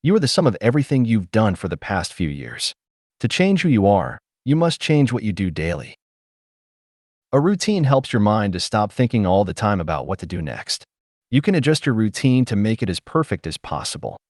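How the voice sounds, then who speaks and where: A man reading a self-help audiobook as scripted narration, measured and instructive, recorded close in a dry space. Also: lowish voice, big pitch swings, darkish tone, dry recording.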